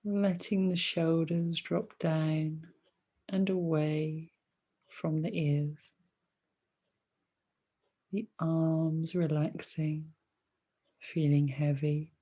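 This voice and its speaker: A woman leading a guided meditation as a teacher, speaking softly and soothingly in short phrases with long pauses between them. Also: deep voice, flat delivery. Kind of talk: guided meditation, body scan.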